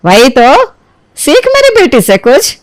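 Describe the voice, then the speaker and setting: A woman puts on an older mother-in-law from a moral tale, in a high voice. She scolds and reproaches, proud and boastful, with a dry, close sound. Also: wildly swooping pitch, takes it slowly, dry recording, exaggerated voice. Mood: boastful, reproachful. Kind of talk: acted dialogue in a Hindi family storybook tale.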